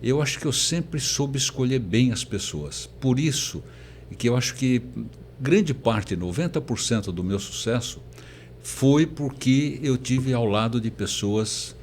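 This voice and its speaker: An older man, a professor, telling his story as an interview guest in a halting, conversational way. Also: medium pitch, animated, a little mellow. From born in Portugal, emigrated very young. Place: recording studio. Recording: faint background noise.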